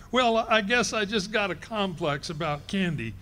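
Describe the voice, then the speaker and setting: A man's voice: sits high, lively intonation, a little slow, sharp tone, slight background noise, heavily acted. A man playing a brash, wisecracking middle-aged husband in a live radio play.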